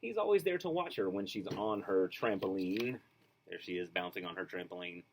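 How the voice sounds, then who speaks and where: A man reading a children's storybook aloud, expressive and rhythmic, with casual asides. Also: sits low, moderate pace, a little hiss or hum. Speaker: storybook reader.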